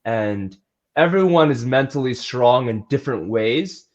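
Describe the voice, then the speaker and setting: Guy coach getting interviewed, earnest and chatty, mid pitch, audio a bit muffled. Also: lively intonation, a little slow, a little mellow. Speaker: athletic trainer and strength coach being interviewed. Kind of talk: casual interview chat.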